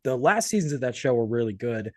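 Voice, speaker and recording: Fast-talking man interviewing. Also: mid-range voice, big pitch swings, no echo, studio quality. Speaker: interviewer, podcast host. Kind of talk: casual interview chat.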